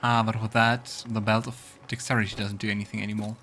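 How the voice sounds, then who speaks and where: A man's voice: on the low side, level tone, quick pace, pushed and bright. A man hosting a let's play, commenting casually on the game, muttering reactions and reading game text aloud. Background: faint game music.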